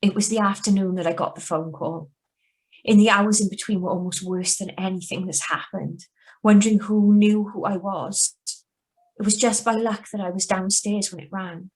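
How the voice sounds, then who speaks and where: A woman's voice: mid-range voice, fairly even intonation, normal speed, a little mellow, lightly acted, low-quality recording. A woman acting an anxious young woman living under a false identity, in a radio suspense play read over a video call. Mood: haunted. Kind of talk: 1940s-style radio suspense narration and dialogue. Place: remote live reading over video call.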